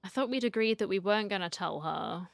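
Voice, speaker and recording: A woman's voice: mid-range voice, fairly quick, gravelly, dry recording, clean studio sound. A woman streamer giving casual, sympathetic commentary as she reacts to a game.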